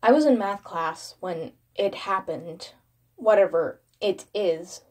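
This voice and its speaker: A woman's voice: mid-range voice, slow, darkish tone. A woman reading a first-person horror story as a dramatic scripted narration.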